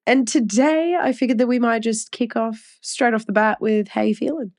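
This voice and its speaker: A woman's voice: a little high, normal speed, darkish tone. Australian woman, nasal from a cold, teasing podcast co-host, casual chat. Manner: playful, teasing, laughs often.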